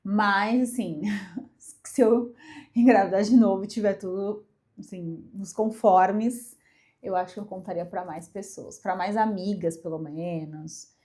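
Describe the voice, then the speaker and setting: A woman's voice: medium pitch, fairly even intonation, measured pace, a little mellow. A young Brazilian woman congested with a cold, chatting casually and emphatically to camera as a vlogger. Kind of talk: casual personal chat to camera.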